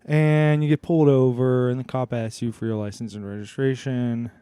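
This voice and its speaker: Male podcast co-host, dark relaxed tone, close dry sound, playful deadpan riffing in loose comic chat. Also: medium pitch, moderate pace, clean tone, no room sound. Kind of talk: casual comedic chat.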